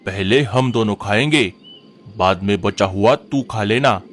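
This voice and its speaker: Man voicing a greedy vulture villain, low gruff voice, bossy, cartoon, faint hiss behind. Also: unhurried, close to an everyday voice. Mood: scolding. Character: scheming greedy male vulture. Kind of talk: cartoon voice acting.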